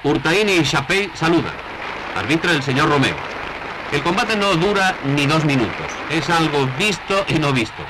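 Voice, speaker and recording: A man's voice: on the high side, big pitch swings, moderate pace, a crowd in the background. A man with a Castilian accent reading scripted 1960s newsreel narration in a formal, declamatory manner. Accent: Castilian Spanish. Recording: noisy vintage newsreel soundtrack.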